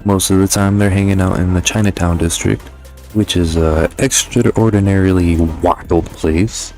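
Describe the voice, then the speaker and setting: A man's voice: sits low, fairly even intonation, measured pace, darkish tone, soft background music. A man giving a casual, conversational video game review voice-over in slangy, sometimes profane language.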